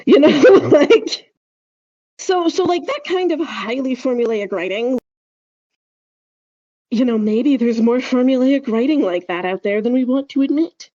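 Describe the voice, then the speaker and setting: A woman's voice: sits high, measured pace, mellow, no echo, dull audio. A woman chatting casually as a podcast co-host, wry, opinionated and emphatic in how she makes her points. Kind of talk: casual speculative podcast chat.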